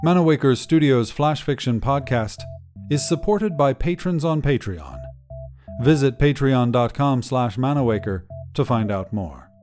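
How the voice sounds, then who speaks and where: Mellow, animated male story narrator. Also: mid-range voice. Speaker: podcast host and story narrator. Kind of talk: scripted short story narration.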